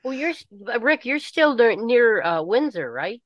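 An older woman chatting casually on a remote call, halting and repeating her words as she goes. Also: fairly high pitch, very animated, no room sound. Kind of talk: casual group chat. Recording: dull, band-limited call audio.

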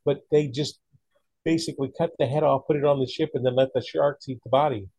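A man's voice: mid-range voice, steady tone, brisk, warm tone, dry recording. A man in casual conversation, talking in an opinionated, emphatic way. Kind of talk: casual chat.

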